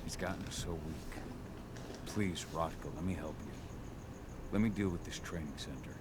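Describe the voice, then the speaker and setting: A brooding werewolf warrior in a video game, with an extremely deep, gruff voice. He speaks tersely and earnestly, with clear ambient noise behind him. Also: moderate pace. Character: brooding, guilt-ridden male werewolf warrior. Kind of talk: video game cutscene acting.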